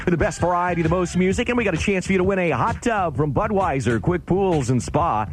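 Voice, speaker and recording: A man's voice: sits high, animated, fairly quick, a little hiss or hum. An American man working as a radio DJ, hosting a seventies music show with patter between songs. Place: radio studio. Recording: radio aircheck with music behind.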